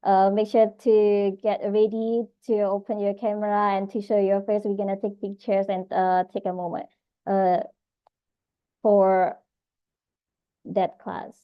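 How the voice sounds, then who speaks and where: A woman's voice: mid-range voice, flat delivery, smooth. Lo-fi Indonesian-accented woman hosting online. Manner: halting, searching for words. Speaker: online class host. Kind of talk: informal announcements and hosting. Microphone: video call. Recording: dull lo-fi video-call audio.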